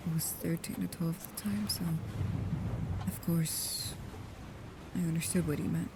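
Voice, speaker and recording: A woman playing a guarded, protective vampire with a painful past, speaking slowly, softly and hesitantly, often in whispers, in an intimate ASMR roleplay. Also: on the low side, steady tone, background noise, close to an everyday voice. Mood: somber, confessing.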